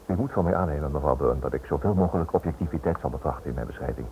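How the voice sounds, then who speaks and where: An actor playing a smooth, prying writer in a radio play, polite but insinuating and persistent. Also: male voice, very deep voice, rapid-fire, mellow. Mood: insinuating, probing. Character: smooth, prying male writer probing a prisoner's wife. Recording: noisy, dull old radio drama recording.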